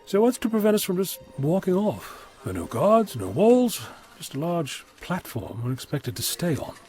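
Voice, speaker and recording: A man's voice: sits high, wildly swooping pitch, moderate pace, mellow, faint music behind, full character voice. A man playing a wry, unflappable adventurer held prisoner in an audio drama, musing and whimsical. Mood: wry, curious.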